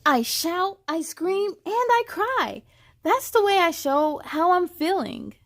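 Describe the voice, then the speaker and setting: A woman reading a children's storybook as a young girl, an easily angered big sister, in an emphatic, deliberate way. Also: very high-pitched, sing-song, dry recording, pitched above the performer's own voice, exaggerated voice. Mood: mad, upset.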